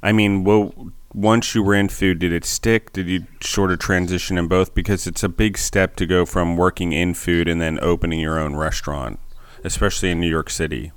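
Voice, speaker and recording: A man hosting a podcast, opening with a steady, scripted-sounding intro and asking his guest questions. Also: low voice, normal speed, clean tone, no room sound. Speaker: podcast host. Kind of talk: podcast interviewing.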